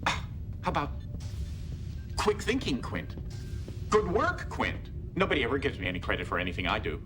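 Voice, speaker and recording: An actor playing a mischievous, theatrical trickster figure who mocks and laughs in a game movie. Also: male voice, wildly swooping pitch, normal speed, big-room sound, over music. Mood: smug. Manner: gleeful, sarcastic, laughing often, sudden swoops in pitch. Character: mischievous godlike trickster man, mocking and theatrical.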